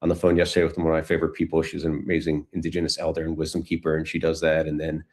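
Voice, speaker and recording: A man's voice: rumbling low, monotone, very fast, a little mellow. A man speaking as a guest in casual conversation, with hesitant, reflective phrasing. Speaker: guest, entrepreneur.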